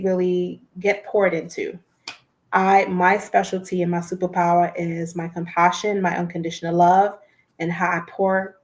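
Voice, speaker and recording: Nasal, congested-sounding woman, low voice. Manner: earnest, encouraging, repeats herself. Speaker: livestream host. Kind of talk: casual livestream talk.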